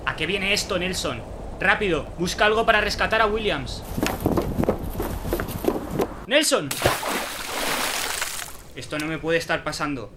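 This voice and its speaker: A man's voice: sits high, lively intonation, unhurried, pauses often, noisy background. An actor playing a commanding ship captain in an audio drama, urgent and authoritative as he calls out to his crew. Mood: alarmed, desperate. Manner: urgent, authoritative, calling out orders.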